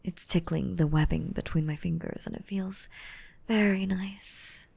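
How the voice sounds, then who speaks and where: A woman voicing a gentle, motherly young mermaid in an intimate, softly whispered ASMR roleplay, soothing and tender with pet names. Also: on the low side, fairly even intonation, a little slow, heavily acted. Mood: tender, playful. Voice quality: soft, breathy, often whispering. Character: gentle, motherly five-hundred-year-old mermaid, still young, softly dominant.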